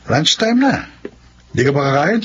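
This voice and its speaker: A man's voice: a little high, very animated, measured pace, breathy edge, full character voice. A man with a Filipino accent playing a teasing, inquisitive office friend in a radio drama. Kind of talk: Tagalog radio drama acting. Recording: dull lo-fi radio, faint noise.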